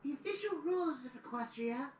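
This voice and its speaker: A woman's voice: sits high, expressive, fairly quick. A woman playing a young boy dragon assistant who reads history aloud in an audio drama. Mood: earnest storytelling. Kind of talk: audio drama storybook narration. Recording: roomy, distant, noisy replayed audio.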